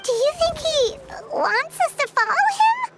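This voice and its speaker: A woman voicing a small, timid, childlike companion creature for a video game, squeaky and hesitant. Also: very animated, measured pace, a little hiss or hum, heavily acted. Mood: unsure.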